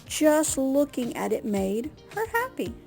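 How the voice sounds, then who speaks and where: Animated, slow-paced woman storyteller. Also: high voice, creaky, over music. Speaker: storyteller reading children's books. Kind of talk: expressive read-aloud storytelling for children.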